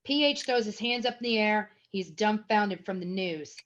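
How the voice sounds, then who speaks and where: A woman's voice: medium pitch, moderate pace. A woman narrating a screenplay at a table read, reading scene headings and stage action as scripted narration. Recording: dull, lo-fi sound.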